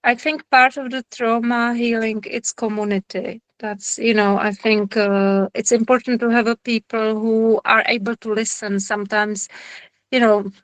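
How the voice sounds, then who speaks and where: A woman with a Czech accent, a trauma therapist speaking on a video call, pausing often and searching for words. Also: fairly high pitch, level tone, dry recording, low-quality recording. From Czech Republic. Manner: halting, searching for words, many fillers. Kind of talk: online lecture Q&A.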